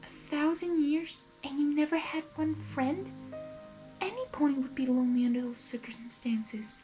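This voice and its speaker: A woman voicing a shy, gentle female cartoon pony in a soft, hesitant way. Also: high voice, normal speed, over music, voice pushed up, natural voice, poor audio. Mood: gentle concern.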